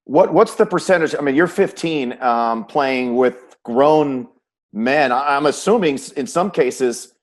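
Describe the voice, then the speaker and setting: A man's voice: medium pitch, a little slow. An American man working as a sports show host, asking questions in a conversational interview style.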